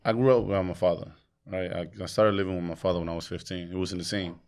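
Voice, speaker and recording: A man from Guatemala, a guest co-host on a podcast, asking questions in a halting way in a small studio at a boom mic. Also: steady tone, a little mellow, clear, resonant tone. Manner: halting, searches for words. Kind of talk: conversational interview. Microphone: studio mic on a boom arm.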